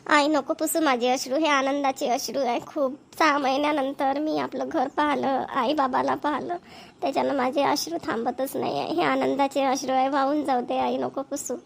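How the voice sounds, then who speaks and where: A woman's voice: sits high, fairly even intonation, moderate pace, creaky. A woman voicing an emotional, tearful daughter in a Marathi cartoon. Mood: happy tears. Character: Rajni, weepy grown daughter home after months away.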